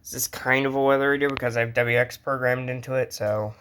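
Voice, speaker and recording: A man's voice: mid-range voice, fairly even intonation, quick pace, very noisy. A man with a mid-range voice hosts a casual hobby show-and-tell. He talks in an informal, rambling way, like someone chatting to his regular viewers. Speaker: host showing a radio collection.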